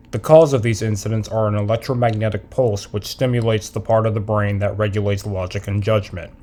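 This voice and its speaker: Guy doing a serious cable news anchor, lowish voice, formal deliberate scripted read. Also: darkish tone, lightly acted.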